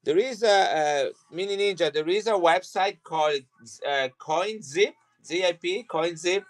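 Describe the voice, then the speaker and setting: A man's voice: sits high, unhurried. Chatty man selling coins. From Italy. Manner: chatty and rambling, with frequent restarts. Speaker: coin seller on a live stream. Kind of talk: casual live sales chat.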